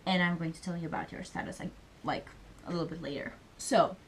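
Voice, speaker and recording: A woman's voice: lowish voice, fairly even intonation, quick pace, a little hiss or hum. Chatty young woman from Serbia. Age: young adult. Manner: chatty, many fillers, speaks English as a second language. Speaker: student vlogger. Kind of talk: casual vlog chat.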